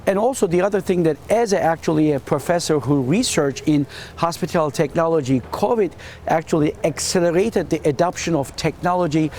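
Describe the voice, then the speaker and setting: A man from Turkey with a Turkish accent, a hospitality dean answering quick interview questions earnestly and often repeating words as he searches for phrasing. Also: on the high side, a little mellow, slight background noise.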